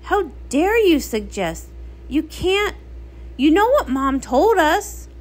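A woman's voice: high-pitched, very animated, takes it slowly, full character voice. A woman voicing a passionate, defiant young sea pony with an urgent, emotional manner.

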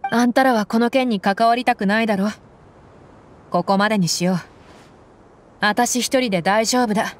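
A woman voicing a tough, proud young female mercenary in a game, speaking bluntly and roughly. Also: a little high, moderate pace, pauses often, slight background noise, lightly acted. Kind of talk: Japanese game voice acting.

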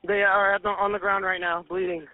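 A man's voice: sits high, flat delivery, a little fast. A distressed man making a panicked emergency call, speaking frantically and repeating himself. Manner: frantic, repetitive. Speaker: emergency caller. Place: on a phone in a parking lot. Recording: tinny phone line, radio-like recording.

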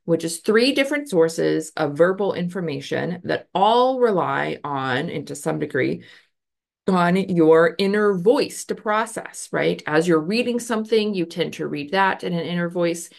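A woman's voice: on the low side, lively intonation, unhurried, gravelly. A woman in her thirties explaining a psychology study in a casual lecture over a video call, talking with many fillers and hand gestures. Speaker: educator explaining research. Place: home office with bookshelf. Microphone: webcam on a video call.

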